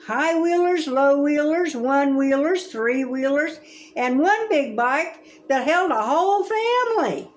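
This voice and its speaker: A woman in her seventies reading a children's story aloud in a gentle, expressive, grandmotherly way. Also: high-pitched, slow. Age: 70s. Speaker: storybook reader.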